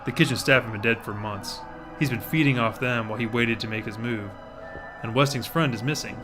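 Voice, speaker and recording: A man's voice: medium pitch, fairly quick, music in the background, close to an everyday voice. A man playing a no-nonsense agent in an audio drama, blunt and sardonic. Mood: grim. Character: gruff, exasperated male agent, no-nonsense.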